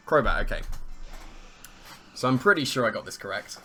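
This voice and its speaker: A man with a slightly hoarse, croaky voice commentates his own competitive match in casual play-by-play, thinking aloud, weighing his options and amused at his own plays. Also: mid-range voice, lively intonation, moderate pace, bright, forceful tone, soft background music.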